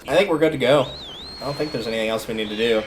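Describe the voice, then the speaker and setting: A man's voice: medium pitch, rapid-fire, background noise. A man livestreaming a video game, giving casual commentary and thinking aloud in a conversational way as he plays. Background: video game music.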